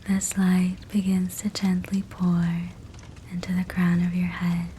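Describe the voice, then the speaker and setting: A woman speaks in a soft, breathy whisper, low and very slow, as she guides a sleep meditation. Her delivery is gentle and soothing, with long pauses between short phrases. A steady fireplace crackle runs behind her voice. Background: fireplace sounds.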